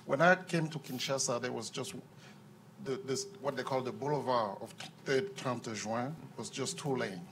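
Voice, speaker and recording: An earnest man from Cameroon speaks, taking the floor during a panel Q&A in a conference room. He holds a handheld microphone and often repeats words as he restarts a sentence. The voice sits at a middle pitch, with a faint hiss of room noise behind it. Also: moderate pace. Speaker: audience member at a panel Q&A. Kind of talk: impassioned floor comment.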